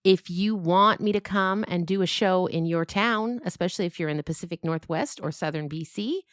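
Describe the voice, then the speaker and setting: Low-voiced woman chatting, smooth and dry. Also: moderate pace, no room sound. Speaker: podcast creator and host, being interviewed. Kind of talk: casual chat.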